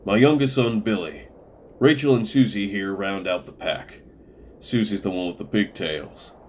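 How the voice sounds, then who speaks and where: A man's voice: lowish voice, moderate pace, a little hiss or hum, lightly acted. A man playing a stern, plain-spoken older farm patriarch in a comic dub. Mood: calm, grave.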